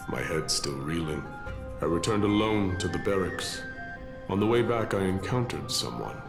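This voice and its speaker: Noble battle-worn warrior, fantasy game. Gravelly, unhurried, serene and grandiloquent, music behind. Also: male voice, heavily acted. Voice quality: low, gravelly. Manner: solemn, grandiloquent, measured. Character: weary, noble male warrior embracing death serenely. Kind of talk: dramatic fantasy game voice acting. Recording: echoey voice over prominent music.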